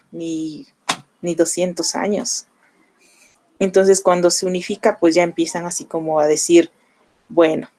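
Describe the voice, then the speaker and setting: A young Mexican woman with a Mexican Spanish accent, explaining to her students in a relaxed classroom manner full of fillers. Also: on the low side. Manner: conversational, explanatory, with frequent fillers. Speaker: language teacher. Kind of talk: online class lecture.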